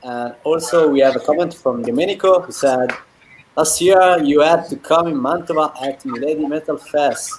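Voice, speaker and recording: A man's voice: on the high side, moderate pace, a little hiss or hum, poor audio. Upbeat man reading viewer comments. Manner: upbeat, greets viewers by name. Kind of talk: live stream chat.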